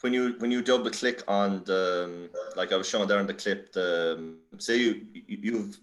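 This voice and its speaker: An Irish man giving an informal tutorial as a workshop presenter, halting and self-deprecating in how he explains things. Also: on the low side.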